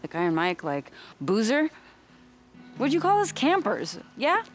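A woman's voice: a little high, huge pitch swings, measured pace. A woman playing a blunt, skeptical survivor in her thirties, in a naturalistic video game cutscene. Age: 30s. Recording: faint guitar music behind.

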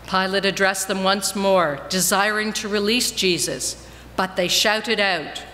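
A woman reads scripture aloud in a solemn, projected style. Her voice is bright and carries through a long church reverb, with some noise behind it. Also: mid-range voice, steady tone, unhurried. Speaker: reader in a church Passion reading. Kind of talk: dramatic scripture reading. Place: large church, before a congregation. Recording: echoing church hall, noticeable noise.

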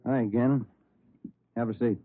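An actor playing a laconic police detective sidekick in a 1950s radio crime drama, dry and understated. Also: male voice, mid-range voice, fairly even intonation, measured pace, background noise, close to an everyday voice. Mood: dry, businesslike. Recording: tinny old radio recording.

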